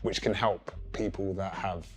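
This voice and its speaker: A man's voice: deep voice, fairly even intonation, a little slow, fairly bright tone. A young man from South London, earnestly describing his experience in a casual interview. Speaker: regular blood donor. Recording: light music in the background.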